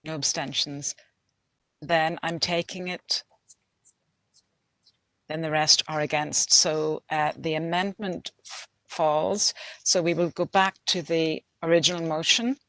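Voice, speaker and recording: A woman chairing a council meeting, brisk and procedural as she calls on speakers. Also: low-pitched, fairly even intonation, fairly bright tone, no room sound.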